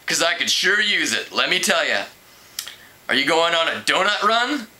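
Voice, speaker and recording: A harried male reporter from a storybook, acted out by a man reading aloud. He is informal and put-upon, grumbling in a forceful, bright voice that sits a bit high. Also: very animated, moderate pace, exaggerated voice. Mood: casual, friendly. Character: harried, overworked male newspaper reporter, casual and griping.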